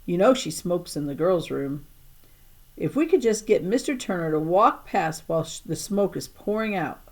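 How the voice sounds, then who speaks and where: A woman voicing a calm, sensible young schoolgirl in a storybook, soothing and carefully spoken. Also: lowish voice, moderate pace. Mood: scheming.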